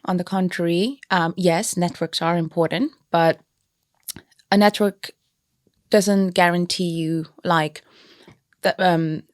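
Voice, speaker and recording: A woman's voice: fairly low pitch, steady tone, slow pace, pauses often, darkish tone, dry recording, clean studio sound. A young woman from Kenya, a business founder and podcast guest, answering in a thoughtful, casual way with many fillers and restarts. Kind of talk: casual interview chat.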